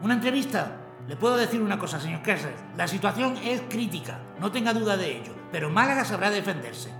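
Brief: clipped, commanding colonel, high and gravelly voice, music underneath. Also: male voice, sing-song, quick pace. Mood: impatient. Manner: curt, commanding. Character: hurried army colonel deserting his post. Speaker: Colonel Villalba. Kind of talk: radio drama acting.

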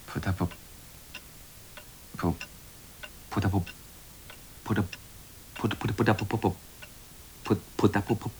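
A fussy, absorbed researcher in a radio drama. He has a fairly low voice and mutters over technical words, with faint noise in the background. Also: exaggerated voice. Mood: absorbed, muttering.

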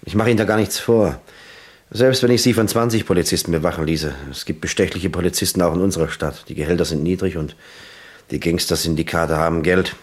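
A man acting an earnest, world-weary police lieutenant in a German crime radio play, deliberate and probing. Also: low-pitched, fairly even intonation, slightly rough. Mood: resigned, earnest.

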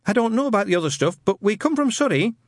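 Man doing a squeaky, stammering nervous young man. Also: big pitch swings, fast, no echo, clean studio sound. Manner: hesitant, stuttering.

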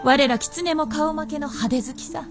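An actress playing a playful, confident, centuries-old fox princess in a radio drama, with teasing, old-fashioned haughty speech. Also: female voice, sits high, normal speed, music in the background. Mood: teasing, eager. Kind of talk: Japanese radio drama acting.

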